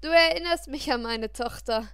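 A woman's voice: high-pitched, moderate pace, a bit bright, smooth, no echo. A woman voicing a friendly, fatherly male taxi driver in an audiobook, chatty and kind. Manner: chatty, kindly. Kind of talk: audiobook character voice.

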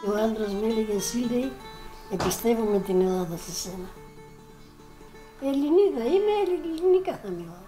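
A woman's voice: on the high side, animated, fast, long pauses, warm tone, soft background music. Emphatic elderly Greek village woman. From Greece. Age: older. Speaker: interviewee, great-aunt of the reporter. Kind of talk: emotional personal recollection. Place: living room in a village home. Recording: roomy indoor sound with faint noise.

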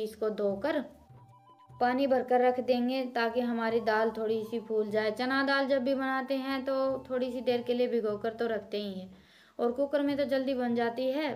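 A woman's voice: on the high side, darkish tone. A woman in her thirties from Haryana, giving a homely, chatty voice-over as a village cooking vlogger, explaining each step to her viewers. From Haryana, India.

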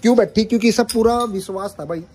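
A man, chatting casually and loudly as he vlogs at a village cricket tournament, thanking people and joking. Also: high-pitched, fast, background noise. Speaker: vlogger. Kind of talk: casual outdoor vlog chat. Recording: loud crowd around. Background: crowd.

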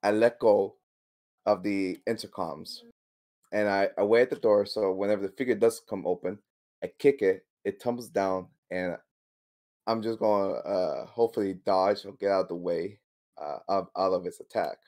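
A man's voice: low-pitched, fairly even intonation, moderate pace, a little mellow. A man talking casually as a tabletop game player, hesitantly thinking his moves aloud.

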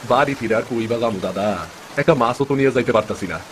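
A man's voice: medium pitch, fast, a little hiss or hum, lightly acted. A man playing a poor, good-natured village fisherman and father in a cartoon, speaking plaintively with a rural eastern Bengali accent. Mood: weary, plaintive. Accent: rural eastern Bengali (Bangladeshi). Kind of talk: dramatic cartoon story dub.

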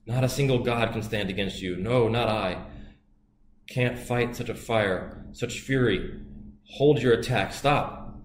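A man voicing a furious, boastful male river god who shouts and pleads in turn. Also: steady tone, normal speed, close to an everyday voice. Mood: panicked. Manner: booming, then pleading and desperate. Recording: roomy with faint hiss.

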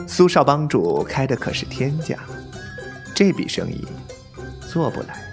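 A man's voice: medium pitch, very animated, a little slow, vocal fry, music in the background. A man playing a suave, menacing palace master in a wuxia audio drama, languid and amused. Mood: amused, cool.

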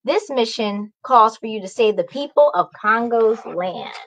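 A woman's voice: fairly high pitch, animated, unhurried. A woman voicing a friendly talking bird guide in a children's story, encouraging and explanatory. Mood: reassuring.

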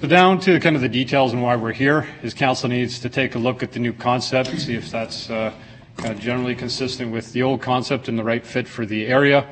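A man's voice: mid-range voice, steady tone, a little fast, a little hiss or hum. A man giving an informal, plain-spoken staff presentation to a council, explaining details as a city planner. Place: council meeting room.

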